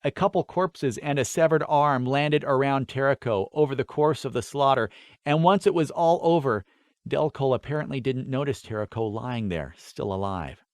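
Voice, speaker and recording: A man narrating a fantasy audiobook from a script, with deliberate, dramatic phrasing. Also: medium pitch, no room sound, studio quality.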